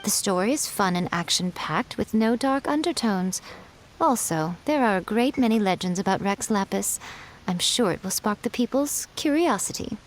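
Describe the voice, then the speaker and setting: An actress voicing a poised, sly adult female fox spirit in a video game, speaking in a smooth, unhurried and teasing way as a publisher judging story ideas. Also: mid-range voice, expressive, slight background noise, natural voice.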